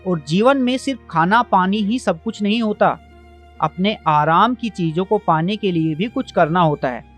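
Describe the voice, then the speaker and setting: A man's voice: sits high, normal speed, a bit bright. An old village father voiced by a man in a moral cartoon, stern but caring. He lectures and admonishes, with music underneath. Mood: firm, advising. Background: background music.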